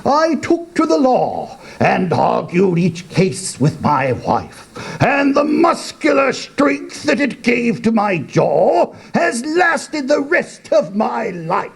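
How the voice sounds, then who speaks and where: A man plays an eccentric old father in a comic storybook poem. He explains himself proudly and deliberately, in a husky, slow voice that rises and falls dramatically. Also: extremely high pitch, huge pitch swings, voice pushed up, full character voice. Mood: boastful. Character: eccentric, boastful, cheerful old father, grey-haired sage. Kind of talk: comic dramatic poetry reading.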